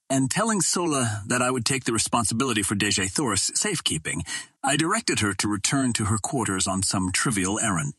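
Guy narrating an adventure audiobook, lowish husky voice, formal literary reading, very dry sound. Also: fairly bright tone, no echo. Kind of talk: scripted first-person adventure narration.

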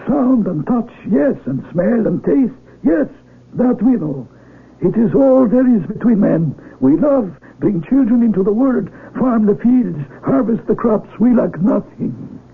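An actor playing a wise, gentle old blind village elder in a radio drama, speaking solemnly and deliberately. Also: male voice, high-pitched, expressive, vocal fry, breathy edge, full character voice. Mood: solemn, patient. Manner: deliberate, halting, solemn, kindly. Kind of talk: 1970s radio drama acting. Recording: tinny, noisy 1970s AM radio recording.

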